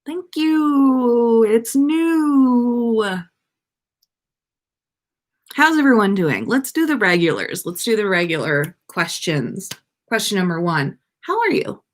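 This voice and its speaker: A woman's voice: fairly high pitch, very animated, unhurried. An American woman hosting a casual livestream, chatting to viewers in a playful, rambling way. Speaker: podcast livestream host.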